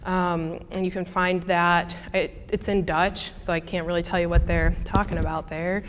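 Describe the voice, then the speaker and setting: A woman's voice: on the low side, flat delivery, moderate pace, a little hiss or hum. A young woman with a Midwestern American accent, a student answering audience questions casually with many fillers and restarts. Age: young adult. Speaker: student presenter. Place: lecture hall. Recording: reverberant lecture hall.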